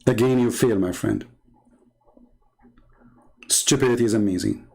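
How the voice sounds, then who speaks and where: A man's voice: on the low side, wildly swooping pitch, measured pace, gravelly, mellow. A middle-aged man with an Arabic accent, mocking and scornful as he argues with online commenters in combative commentary. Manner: mocking, scornful, sarcastic laughs. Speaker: online debater.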